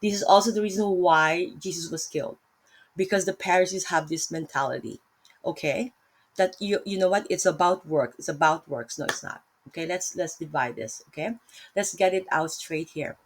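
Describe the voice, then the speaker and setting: Filipino-accented woman, low register, unscripted Bible vlog, meandering delivery with frequent self-corrections. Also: normal speed, slightly rough. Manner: rambling, self-correcting, many fillers. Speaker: vlogger teaching Bible study. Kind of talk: casual Bible talk.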